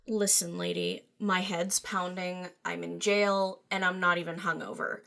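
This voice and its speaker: A woman's voice: lowish voice, little pitch movement, unhurried, gravelly, sharp tone, exaggerated voice. A woman voicing a snarky, hard-partying punk pony mare with sardonic, slangy delivery in a fan fiction reading. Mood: irritated, weary.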